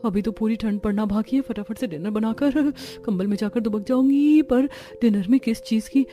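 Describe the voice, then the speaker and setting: A woman plays a put-upon young daughter-in-law in a cartoon family tale. She grumbles dramatically, full of self-pity, in a mellow mid-pitched voice. Faint music sits underneath. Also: moderate pace, close to an everyday voice. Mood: grumbling. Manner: complaining, dramatic. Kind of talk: animated Hindi family story dialogue.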